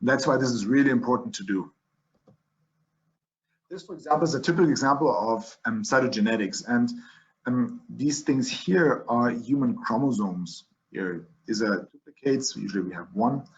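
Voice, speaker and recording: German-accented male physician lecturing, mid-pitched, restarts a lot, slightly muffled lo-fi audio. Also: normal speed. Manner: explanatory, frequent restarts and fillers. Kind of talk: medical lecture over slides.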